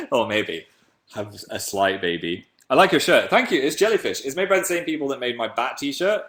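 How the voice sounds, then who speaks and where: A man in his twenties from England with a deep voice, chatting playfully on a webcam livestream while reading comments. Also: very animated, moderate pace, a bit bright. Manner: playful, jumps between high and low pitch. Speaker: livestream host. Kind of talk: casual live chat reading comments.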